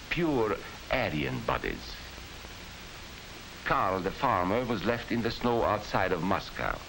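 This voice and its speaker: A man's voice: lowish voice, noisy background. A man playing a grave, fervent narrator of a 1940s propaganda film, speaking in a dramatic, emphatic storytelling style. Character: grave, fervent narrator warning against fascism. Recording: old film soundtrack with loud music. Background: loud film score.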